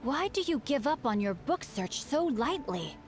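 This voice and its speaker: Chivalrous boy swordsman, game dialogue, eloquent, polite, earnest, lightly high-pitched. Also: big pitch swings, unhurried, soft background music. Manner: formal, eloquent, composed.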